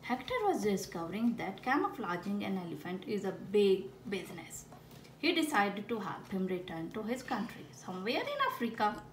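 A woman's voice: mid-range voice, sing-song, normal speed. A woman reading a picture book aloud expressively, with exaggerated storytelling and playful asides for children. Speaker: children's story reader with a frog puppet. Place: indoors at a wooden table. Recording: roomy home recording with background noise.

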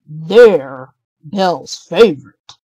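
A woman's voice: deep voice, animated, extremely slow, dark tone, breathy edge, no room sound, heavily acted, rough recording. A woman voicing a happy, devoted young prince in a storybook, earnest and emphatic. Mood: eager. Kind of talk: storybook character reading.

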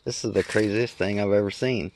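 A man's voice: on the low side, steady tone, fairly quick, darkish tone, slight background noise. A middle-aged man filming himself in his backyard garden, talking casually and sounding amazed as he thinks aloud. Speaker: homesteader vlogger. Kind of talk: casual handheld vlog. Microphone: phone camera held close.

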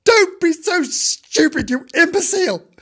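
A storyteller voicing an exasperated male animal companion with loud, emphatic scolding. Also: sits high, very animated, a little slow, gravelly, pitched above the performer's own voice, heavily acted.

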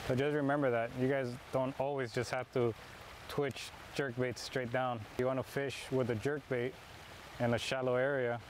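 Male, 30s, casual fishing vlogger, mid-pitched, camera mic outdoors, audible background noise. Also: level tone, moderate pace. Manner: laid-back, explains as he fishes. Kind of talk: casual chat while fishing. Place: outdoor park pond.

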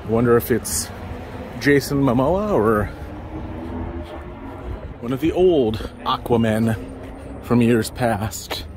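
Man musing on a city walk. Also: medium pitch. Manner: relaxed, musing, slow and meandering. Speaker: walking tour vlogger. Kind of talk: casual walking-tour narration. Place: outdoors on city streets. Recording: outdoor street noise behind. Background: passing cars and street ambience.

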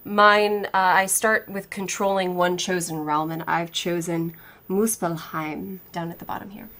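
Woman, 30s, board game co-host, clear teaching tone, slightly low voice. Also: flat delivery, measured pace, fairly bright tone. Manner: clear, upbeat, instructive. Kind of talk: rules explanation and casual play chat. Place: home studio set with brick wall, indoors.

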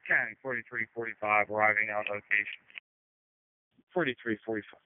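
A man's voice: on the low side, steady tone, brisk, dry recording. A man giving clipped, procedural acknowledgements over an emergency two-way radio. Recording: tinny narrowband fire radio transmission.

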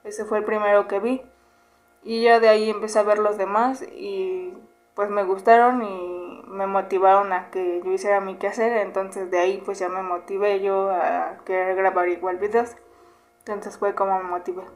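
A woman's voice: mid-range voice, normal speed, faint music behind. Chatty young Mexican woman vlogger. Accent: Mexican Spanish. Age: 20s. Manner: relaxed, chatty, hums "mm" between questions. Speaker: YouTuber. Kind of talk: casual Q&A vlog. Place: small bedroom indoors.

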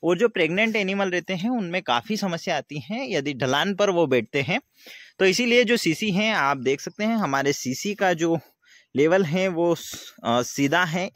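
A young man, a dairy farmer giving a casual, halting walkthrough and often repeating himself as he explains. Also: fairly high pitch, lively intonation, fairly bright tone, no echo, clean studio sound. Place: outdoors in an open-sided farm shed. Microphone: phone at arm's length.

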